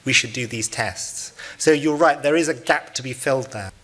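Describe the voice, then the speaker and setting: A man moderating a panel discussion, speaking in a measured, conversational way and passing questions to panelists. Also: medium pitch, bright, forceful tone.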